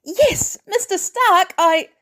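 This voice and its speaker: A woman voicing an excitable, polite and bewildered teenage superhero boy in a story reading, earnest and eager. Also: extremely high pitch, slow, pitched above the performer's own voice, exaggerated voice.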